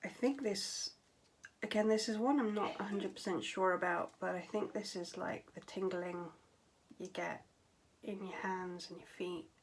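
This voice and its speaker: A young adult woman talking candidly to camera at home, tired and low on vocal power, her voice often dropping to a breathy near-whisper. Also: fairly even intonation, unhurried, a little hiss or hum, low-quality recording. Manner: candid, matter-of-fact, with frequent fillers and trailing phrases. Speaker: vlogger. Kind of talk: casual talk to camera.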